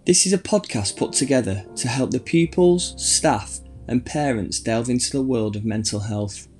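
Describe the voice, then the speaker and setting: A man's voice: mid-range voice, normal speed, a little mellow, soft background music. A man hosting a school podcast, reading a scripted welcome and then chatting in an upbeat, encouraging way. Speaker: podcast host. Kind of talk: school podcast host, scripted intro then casual chat.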